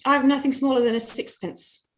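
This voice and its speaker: A woman's voice: high voice, fairly even intonation, moderate pace, natural voice. A woman playing a snobbish, petulant young lady in a staged play reading, haughty and full of complaint. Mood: petulant, disdainful.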